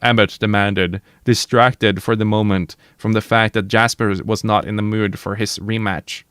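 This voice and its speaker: A man's voice: lowish voice, little pitch movement, normal speed, a bit bright, clean tone, no room sound, clean studio sound. Man reading a novel aloud on stream. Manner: casual and slangy between passages. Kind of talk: dramatic novel reading with casual chat.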